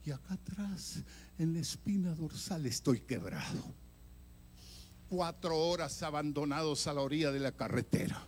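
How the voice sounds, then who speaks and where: An older man, an evangelist, telling his own story in a sermon, swinging from loud emphatic bursts to hushed near-whispers. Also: on the high side, animated, moderate pace, slight background noise. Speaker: evangelist preacher. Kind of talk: sermon testimony.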